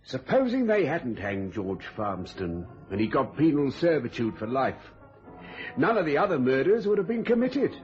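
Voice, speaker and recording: An actor playing a deferential, thoughtful police sergeant in an old radio thriller. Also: male voice, mid-range voice, sing-song, moderate pace, a little mellow, faint music behind, natural voice. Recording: muffled old radio recording.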